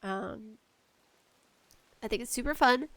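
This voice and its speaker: A woman hosting a casual gaming podcast, talking solo in a slangy, conversational way with animated asides. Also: fairly high pitch, slow pace, dry recording. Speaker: podcast host.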